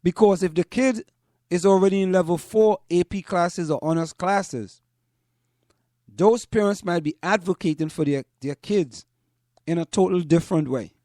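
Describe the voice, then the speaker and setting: A man from Trinidad and Tobago, speaking as a show host in deliberate commentary and repeating phrases for emphasis. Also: sits high, warm tone, no room sound, clean studio sound. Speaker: host of a community parents' talk show. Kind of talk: spoken commentary to listeners.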